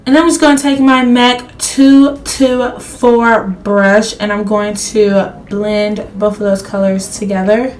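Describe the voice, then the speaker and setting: A woman's voice: mid-range voice, fairly even intonation, slow pace, hardly any pauses, darkish tone, faint music behind. A woman beauty vlogger chatting casually to viewers through a makeup tutorial, her voice slightly crackly as she is losing it while under the weather. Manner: chatty, addresses viewers directly.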